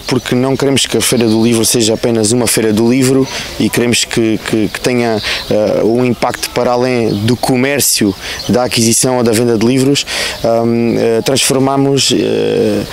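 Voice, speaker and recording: A man's voice: medium pitch, steady tone, normal speed, creaky, slight background noise. A man in his thirties with a European Portuguese accent, a town councillor answering questions outdoors in a park with many fillers and restarts, into a handheld mic. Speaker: councillor for culture. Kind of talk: outdoor news interview. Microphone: handheld reporter mic.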